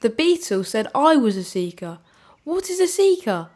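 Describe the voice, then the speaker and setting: A woman's voice: high-pitched, sing-song, normal speed, darkish tone. A woman voicing an earnest, anxious but brave young boy in a storybook, sincere and a little hesitant. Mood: earnest, curious. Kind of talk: storybook character voice.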